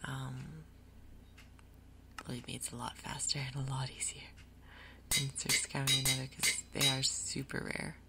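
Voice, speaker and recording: A woman hosting a gaming video in a soft, breathy ASMR whisper, explaining things gently and without hurry. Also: a bass voice, little pitch movement, normal speed, pauses often, very noisy. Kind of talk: whispered ASMR game commentary.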